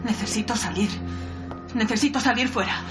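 A woman's voice: a little high, moderate pace, close to an everyday voice. Panicked, pleading woman over music actress. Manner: pleading, distressed. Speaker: actress in a radio drama. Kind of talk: radio drama acting.

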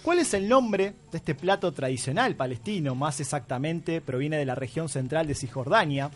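A man's voice: on the high side, animated, normal speed. A man with a Uruguayan accent hosting a radio interview and leading it with follow-up questions. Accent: Uruguayan Spanish. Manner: chatty, leads with follow-up questions. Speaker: radio host.

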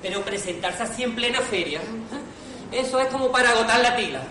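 An actor playing a hapless, jittery poor impostor in an amateur comic radio play, stammering and muttering exasperated asides. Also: male voice, very high voice, expressive, a little fast, bright, forceful tone, exaggerated voice. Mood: resigned grumbling. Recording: roomy distant stage recording, noisy audience.

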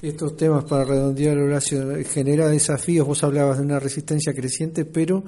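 A man's voice: a little high, flat delivery, brisk, mellow. A man with a Uruguayan Spanish accent works as a radio interviewer, putting long, winding questions to a guest.

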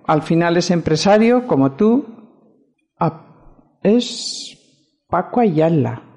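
A woman's voice: fairly low pitch, lively intonation, slow, dark tone. A woman with a Spanish accent playing a firm, defensive lawyer in an amateur radio soap opera. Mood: casual, weary. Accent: Spain.

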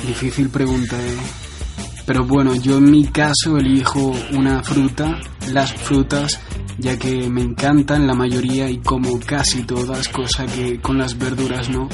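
A man from Colombia with a Spanish accent, giving a measured, thoughtful recorded answer. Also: medium pitch, level tone, music in the background. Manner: measured, thoughtful, ends with a laugh. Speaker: guest contributor.

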